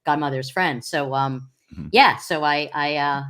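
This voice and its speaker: Middle-aged woman w/ a really low deep voice, rambly, lots of ums and restarts. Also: unhurried, fairly bright tone. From Long Island, New York. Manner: rambling with many fillers and restarts. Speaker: podcast guest, comedian. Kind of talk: casual interview chat.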